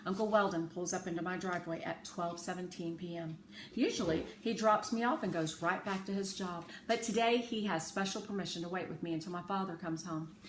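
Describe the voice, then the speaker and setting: A woman narrating a children's story aloud in a measured, clearly phrased way. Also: on the low side. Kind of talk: children's audiobook-style storytelling. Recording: roomy echo with faint background noise.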